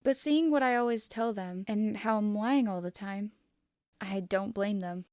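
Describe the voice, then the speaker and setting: A woman reading fan fiction aloud as expressive first-person narration. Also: medium pitch, a little slow, no room sound, studio quality.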